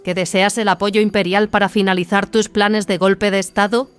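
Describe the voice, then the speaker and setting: A woman plays a battle sister in an audio drama, stern and righteous. She questions firmly and with authority. Her pitch is average for a woman, and music plays faintly behind her. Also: little pitch movement, moderate pace, smooth, close to an everyday voice. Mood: guarded, challenging. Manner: firm, interrogating. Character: stern warrior nun, guarded, righteous, commanding. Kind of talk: dramatised audio fiction dialogue in Spanish.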